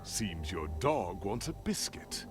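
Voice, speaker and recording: A man's voice: low-pitched, very animated, slow, heavily acted. An actor playing an arrogant, angry wealthy man in a video game, haughty and accusatory. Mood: sneering, menacing. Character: arrogant, angry wealthy male grievance-bearer. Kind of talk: video game dialogue acting. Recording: game music behind the voice.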